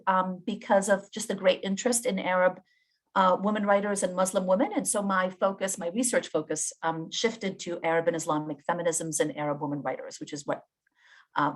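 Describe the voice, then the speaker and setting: A woman from Jordan, a professor and guest speaker, giving a presentation on a video call in a warm, effusive way with frequent ums. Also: on the low side.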